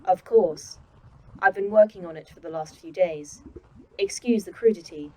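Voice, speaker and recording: A woman voicing a calm female AI that runs a secret facility, speaking in a precise, dry manner in an audio drama. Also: fairly low pitch, normal speed, natural voice, low-quality recording. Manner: precise, dry, oddly proud of hobbies.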